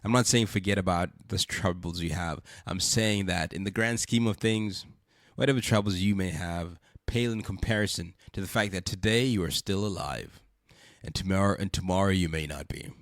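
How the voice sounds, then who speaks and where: A man's voice: low voice, normal speed, no echo, clean studio sound. A man hosting a podcast with a congested, stuffy voice, speaking earnestly and repeating rhetorical questions. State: congested from a cold. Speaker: podcast host. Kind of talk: reflective spoken monologue.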